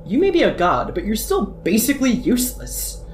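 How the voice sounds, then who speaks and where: Woman as a brash, sarcastic troll girl, swooping lively delivery, roomy echo. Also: on the high side, normal speed. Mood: lecturing. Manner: sarcastic, taunting, swears freely. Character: cocky brash female troll gamer. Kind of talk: amateur audio drama fandub. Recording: echoey room with faint noise.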